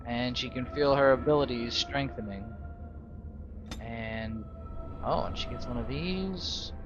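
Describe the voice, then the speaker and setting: Male gamer, unhurried story-style narration with casual asides, dull lo-fi audio, loud music behind. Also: medium pitch. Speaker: gamer narrating a role-play playthrough. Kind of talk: slow storytelling with casual out-of-character reactions. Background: game music.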